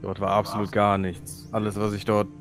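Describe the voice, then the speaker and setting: A man's voice: lowish voice, monotone, fairly quick, faint music behind. A man talking casually as a player in a tabletop role-playing session.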